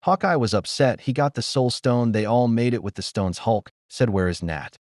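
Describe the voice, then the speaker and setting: A man's voice: mid-range voice, animated, moderate pace, darkish tone, no room sound, studio quality. A man retelling a story as an amateur reviewer, in short, choppy, loosely worded sentences. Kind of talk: simple spoken retelling of a film's plot.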